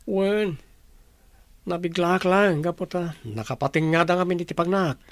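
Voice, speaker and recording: Woman putting on a lowered, contrite man's voice. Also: normal speed, no room sound, close to an everyday voice. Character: apologetic, contrite man making amends. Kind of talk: Ilocano audio drama acting.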